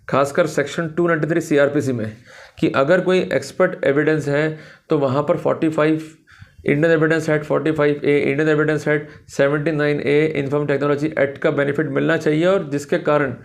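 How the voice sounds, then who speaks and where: An Indian man with a slightly high voice delivers a legal lecture. He is didactic and emphatic, speaking straight to his listeners as he explains points step by step and drops in English legal terms. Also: creaky. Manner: didactic, addresses viewers directly, emphatic. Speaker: law lecturer.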